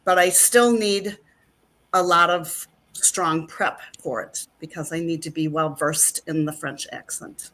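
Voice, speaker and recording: A woman's voice: sits low, fairly even intonation, measured pace, fairly bright tone. A middle-aged woman chatting as an interview guest, rambling with plenty of fillers. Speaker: actress and guest. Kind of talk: casual interview chat.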